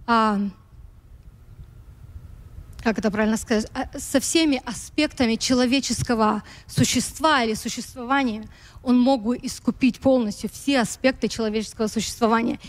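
Slow-talking woman preacher, kinda high voice, slight american tinge to her russian, keeps hunting for words. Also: in a large hall. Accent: American-influenced Russian. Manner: slow, searching for words, with light laughs. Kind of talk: sermon.

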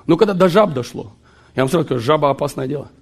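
A man preaching a sermon, joking and animated, with sudden shouts and laughter. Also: fairly high pitch, rapid-fire, creaky.

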